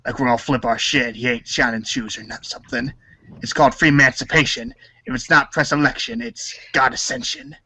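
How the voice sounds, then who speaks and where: A man's voice: mid-range voice, steady tone, a little fast, sharp tone, dry recording, rough recording. A man acting a cool, cocky, slangy teenage boy wisecracker in a reader's theater. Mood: cocky, rapping. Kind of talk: read-along storybook reader's theater acting, rapping.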